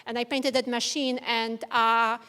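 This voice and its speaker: A woman's voice: fairly high pitch, flat delivery, unhurried, sharp tone, smooth, long reverb tail. A woman from Poland, an artist talking onstage in a fireside conversation, earnest and searching for words. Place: event venue with audience.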